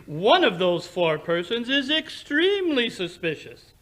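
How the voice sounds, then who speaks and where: An actor playing a brisk, imperious detective in an old-time radio drama, commanding and self-assured. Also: male voice, extremely high pitch, very animated, sharp tone, full character voice. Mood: knowing.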